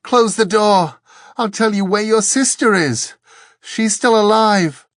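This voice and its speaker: A man's voice: mid-range voice, expressive, takes it slowly, no echo, clean studio sound. A man voicing a cruel, vain fallen angel with a grandiose, theatrical manner for an audiobook. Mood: desperate pleading. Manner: pompous, grandiose, shouting and hissing.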